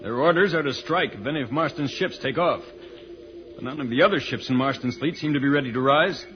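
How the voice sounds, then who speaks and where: An actor playing an earnest, level-headed space hero, decisive and explanatory, in an old-time radio serial. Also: male voice, medium pitch, fairly quick, crowd noise, lightly acted. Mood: focused, calm. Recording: dull old radio recording with music.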